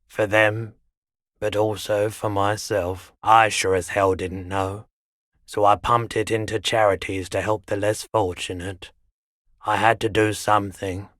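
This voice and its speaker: A weary, haggard man who keeps a pawnshop for a video game. His voice sits on the low side and moves very slowly. He is measured and resigned, and the recording is dry and close. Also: fairly bright tone, no echo. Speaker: shopkeeper. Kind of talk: video game character dialogue.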